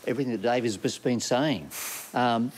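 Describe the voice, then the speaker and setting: A man from the audience puts his question to the panel slowly and earnestly. His voice sits in an ordinary middle range. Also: medium pitch, a little hiss or hum. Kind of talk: asking a prepared question.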